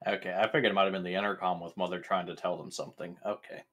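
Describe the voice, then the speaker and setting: A man's voice: deep voice, steady tone, very fast, fairly bright tone. A man playing a tabletop game, bantering casually in a sweary, wisecracking way.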